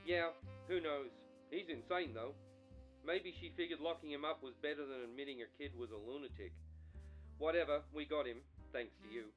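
A man's voice: fairly high pitch, normal speed. A man playing a casual, offhand police officer over a telephone in a noir radio drama. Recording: dull phone call with music behind.

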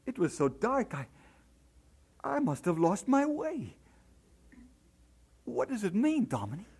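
A man's voice: high-pitched, big pitch swings, slow, creaky, darkish tone, breathy edge, heavily acted. An actor playing an earnest, hesitant young Scottish man in an audio drama.

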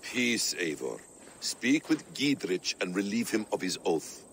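An actor playing a calm, wary warrior who speaks in a measured, formal way in a video game. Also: male voice, fairly low pitch, slight background noise, exaggerated voice. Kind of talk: dramatic video game acting.

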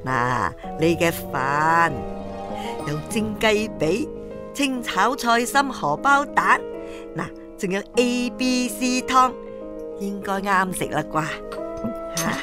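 Doting granny for radio drama: fussy, patient, coaxing, music underneath. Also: female voice, mid-range voice, very animated, takes it slowly. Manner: coaxing, repeats herself soothingly. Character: doting elderly grandmother, patient and fussing. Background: music behind the voice.

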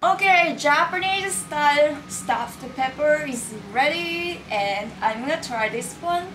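Woman in her 20s, cheerful vlogger, slow, set back from the mic. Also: sits high, expressive, fairly bright tone, recorded from a distance. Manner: cheerful, chatty, slightly halting. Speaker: cooking vlogger. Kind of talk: casual cooking vlog chat. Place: small room with curtain backdrop. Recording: roomy, mic set back, music underneath.

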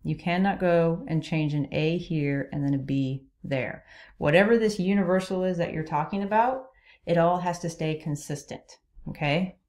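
Low, mellow-voiced woman teaching logic. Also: moderate pace. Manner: explanatory, checks in with "okay?". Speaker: logic instructor. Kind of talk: lecture over slides. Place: voice-over on screen recording.

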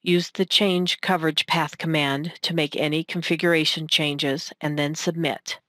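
A woman reading scripted narration for a technical demonstration in a measured, instructional manner. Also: low-pitched, fairly even intonation, sharp tone, no room sound. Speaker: software tutorial narrator.

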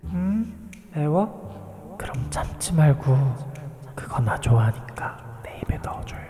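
A man's voice: a little high, very animated, takes it slowly, dark tone, whispered, soft background music. Seongyeon, a man playing a sweet younger man. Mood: flirty. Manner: polite, sweet and flirty. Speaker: voice actor. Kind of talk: webtoon audio drama acting.

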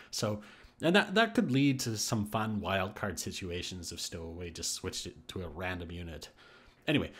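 Man giving casual game update commentary. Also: mid-range voice. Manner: speculative, thinking aloud. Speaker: gaming video host.

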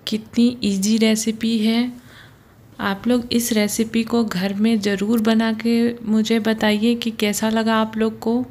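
A woman's voice: fairly high pitch, level tone, darkish tone. A woman hosting a home cooking channel, patiently narrating each step of a recipe and often pointing things out to the viewer. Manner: slow, patient, repeats "ye dekhiye". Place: home kitchen.

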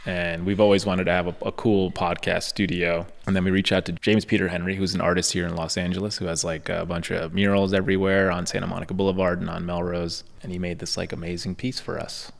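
Man, podcast host, deep voice, gravelly, casual storytelling, studio mic, reverb tail. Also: fast talker, hardly any pauses. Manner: relaxed storytelling with many "like" fillers. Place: podcast studio in a storefront building. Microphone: boom-arm studio microphone.

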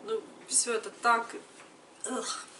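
A woman's voice: on the high side, flat delivery, slow. Woman in her 30s just chatting to her camera at home, kinda far from the mic, noisy room. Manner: conversational, retells dialogues in everyday speech. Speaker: vlogger, mother. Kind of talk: casual chat to camera. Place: home kitchen, later another room indoors. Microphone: camera a little distance away.

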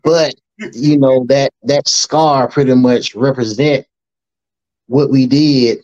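A middle-aged man talks in a relaxed, easygoing way, with plenty of fillers. His voice sits at a medium pitch. It comes through slightly muffled video call audio from a room at home. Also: slow pace. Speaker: guest, old friend. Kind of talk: casual video call chat.

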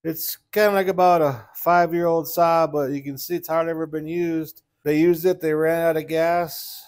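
A middle-aged man with a slightly high voice talks through an engine repair in his workshop. His shop talk is folksy and plainspoken, and it is picked up by the camera's own mic. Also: darkish tone. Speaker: small engine repair mechanic. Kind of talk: casual hands-on repair walkthrough.

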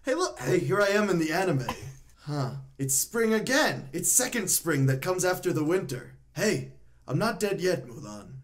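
A man voicing an odd, rambling elderly cartoon father with a creaky voice, improvised for a comedy fan dub. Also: high-pitched, big pitch swings, moderate pace.

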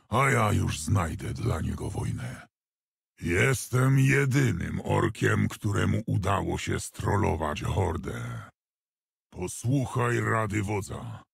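Game orc warchief: very gravelly, unhurried delivery, proud, gruff, deadpan authority. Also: male voice, lowish voice, dry recording, clean studio sound, full character voice. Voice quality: gravelly, husky. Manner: commanding, deadpan, sardonic. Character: gruff male orc warchief, proud, wry and battle-hardened. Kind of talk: scripted video game hero voice lines.

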